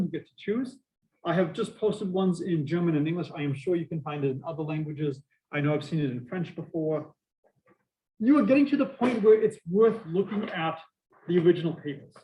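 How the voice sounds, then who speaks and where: A middle-aged man with a Boston accent giving a university math lecture, lively and full of asides and questions to the class. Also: high voice, rough recording. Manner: energetic, prone to asides and questions to students. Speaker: math professor.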